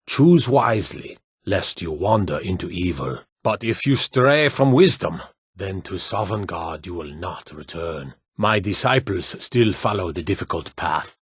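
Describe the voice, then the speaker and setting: An actor playing a proud, hearty and solemn Nordic warrior in a fantasy video game, speaking grand archaic lines in a declamatory way. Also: male voice, low-pitched, expressive, a little slow, breathy edge, dry recording, studio quality, heavily acted.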